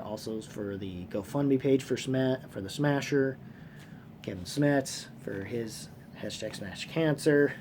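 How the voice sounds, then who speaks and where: A man hosting a casual fan vlog, talking off the cuff in a halting, rambling way, repeating phrases and swearing casually. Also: mid-range voice, darkish tone. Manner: casually profane. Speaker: host of a movie trivia fan channel. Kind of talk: casual unscripted vlog commentary. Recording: clearly noisy room sound.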